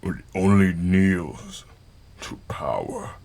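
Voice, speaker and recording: A man playing a bitter, defiant young warrior son in an audio drama, with a gravelly voice. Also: low voice, animated, takes it slowly, over music, exaggerated voice.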